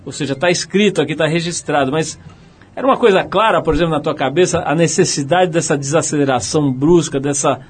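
A man's voice: fairly high pitch, fast talker, slightly rough. A Brazilian man hosting an interview, talking casually with frequent tag questions and reading a passage aloud. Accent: Brazilian Portuguese. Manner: chatty, many "né?" tags, reads a passage aloud. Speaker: radio interviewer. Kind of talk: conversational interview.

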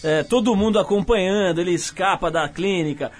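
A man's voice: sits high, a little fast, crowd noise. A chatty male radio host whose voice sits well above the usual range for a man. He keeps the talk loose and playful, tossing in jokes between his questions on a casual studio show.

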